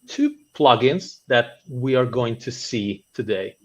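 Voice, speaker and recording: A man in his twenties from Italy, a guest software engineer, introducing himself briskly at an online meetup. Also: mid-range voice, animated. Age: 20s.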